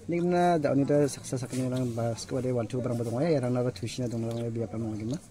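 A man interviewing outdoors by a pond, asking brisk questions and often acknowledging with "acha". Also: mid-range voice, mellow. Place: outdoors by a rural fish pond.

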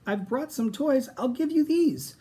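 Man doing a high, whiny, greedy young dinosaur. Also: animated, measured pace, warm tone, husky, full character voice. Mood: pleading. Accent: American. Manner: roaring, whining, possessive. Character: greedy, tantrum-throwing young male dinosaur.

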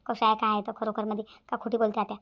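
A woman's voice: medium pitch, monotone, rapid-fire, highs cut off, natural voice. A woman voicing a young, grumbling village daughter-in-law in a cartoon, chatty and complaining. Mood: gossipy. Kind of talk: Marathi cartoon voice acting.

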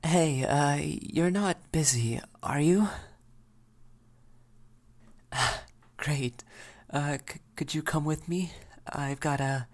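A man voicing a shy, flustered young male vampire in a soft ASMR roleplay, stammering and hesitant. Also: fairly high pitch, drawn-out words, a little hiss or hum. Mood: nervous, hopeful.

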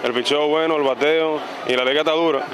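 A man in his thirties who grew up in the United States, a baseball pitcher answering interview questions outdoors on a ball field into a handheld mic, calm and soft-spoken, slipping English words into his speech. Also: fast talker. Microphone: handheld mic held by interviewer. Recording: noisy outdoor stadium ambience.

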